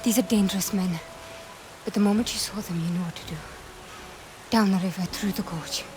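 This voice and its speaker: A woman's voice: medium pitch, fairly quick, over music, close to an everyday voice. An actress playing a determined, defiant young woman adventurer in a video game, speaking tersely and earnestly. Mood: earnest, regretful. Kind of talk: video game cutscene acting.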